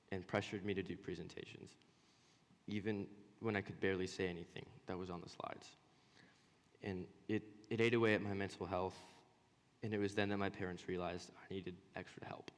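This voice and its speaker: A young man with a low voice gives a personal student speech on stage. He stutters, pausing and repeating words as he goes, and his voice rings with the long reverb of a hall. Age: young adult. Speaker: student presenter.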